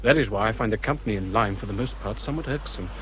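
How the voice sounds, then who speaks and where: An actor playing a bookish, melancholy English naval captain in a period radio drama, formal, stiff and earnest. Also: male voice, on the low side, racing, lightly acted. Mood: earnest, solemn. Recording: clear outdoor ambient noise behind.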